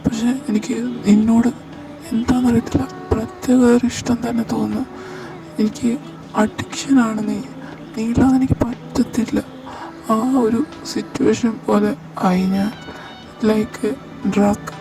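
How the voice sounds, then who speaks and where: A woman voicing an intense, possessive young man who confesses his love in a soft, halting voice. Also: a little high, steady tone. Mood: tender. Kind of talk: amateur audio drama. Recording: distant mic over clear music.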